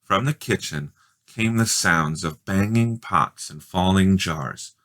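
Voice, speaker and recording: A man reading a children's story aloud in expressive, measured, dramatic narration. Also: deep voice. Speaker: storybook reader.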